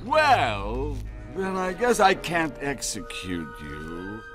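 Stern cartoon king, drawn-out commanding delivery with huge swings in pitch. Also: huge pitch swings, music in the background. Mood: imperious.

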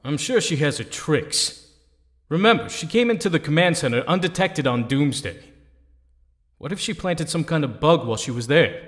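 An actor playing a serious, reassuring young male captive hero in an audio drama, speaking in a measured way. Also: on the high side, expressive, a bit bright. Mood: grave, suspicious. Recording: long hall-like reverb.

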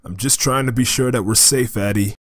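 A man playing a weary, remorseful drug dealer in an audio drama, speaking in a halting, grave way. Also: medium pitch, no echo, studio quality, lightly acted. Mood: wary.

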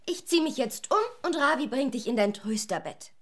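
A sensible young girl in a children's audio drama. Her high voice is natural, firm and direct, with faint noise behind it. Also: expressive, normal speed. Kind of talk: children's radio play acting.

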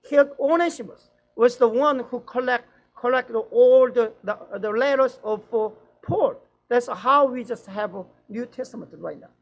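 Halting man preaching in two languages. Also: extremely high pitch, creaky, poor audio. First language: Korean. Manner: slow, halting English with many restarts and fillers, quick fluent Korean. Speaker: pastor. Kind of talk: bilingual dawn-service sermon. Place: church sanctuary, indoors.

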